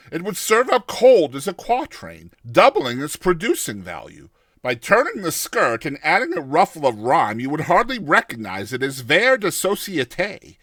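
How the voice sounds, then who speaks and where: A man reading a classic short story aloud as a dramatized first-person narration, with a theatrical, expressive delivery. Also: a little high, no room sound.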